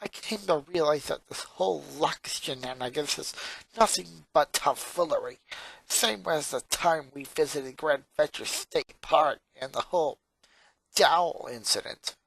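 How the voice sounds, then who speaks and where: A performer voicing a four-year-old girl genius from a cartoon fan story, monotone and sarcastic, with pedantic, wordy phrasing. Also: medium pitch, measured pace, creaky, breathy edge, heavily acted, poor audio. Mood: matter-of-fact.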